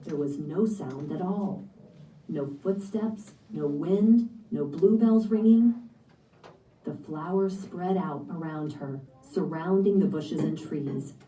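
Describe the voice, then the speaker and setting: Woman storybook reader, very mellow dark tone, recorded some way from the mic in a roomy space. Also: low voice, slow pace. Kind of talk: storybook read-aloud with acted dialogue. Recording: echoey room, distant muffled voice.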